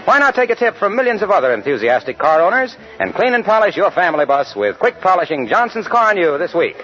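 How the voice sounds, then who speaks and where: A man's voice: high voice, big pitch swings, fast talker, a bit bright, soft background music. A man announcing as a polished, persuasive sponsor spokesman in the style of 1940s radio commercials. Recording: dull vintage radio recording.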